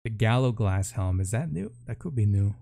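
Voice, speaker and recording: A man's voice: on the low side, expressive, moderate pace, gravelly, dark tone. A man giving casual, chatty commentary as a video game streamer, with an informal, jokey way of talking.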